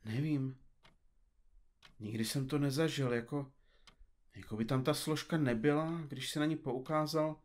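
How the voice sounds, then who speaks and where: A man's voice: mid-range voice, steady tone, pauses often, natural voice. A man playing a pompous, absent-minded detective in an audio comedy drama, speaking in florid, formal, bookish phrases. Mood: shaken, bewildered.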